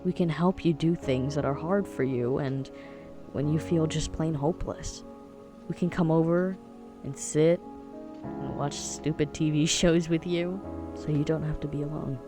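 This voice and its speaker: A man's voice: on the high side, fairly even intonation. A man as an earnest, reassuring young friend over music. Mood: gentle reassurance. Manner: emphatic, reassuring, deliberate phrasing. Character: earnest, supportive young friend Alex, encouraging and protective. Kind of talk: audio drama acting.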